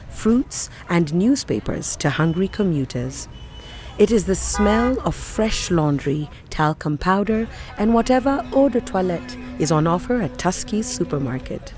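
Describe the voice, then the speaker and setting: A woman's voice: lowish voice, a little mellow. A woman reading scripted literary narration for audio fiction.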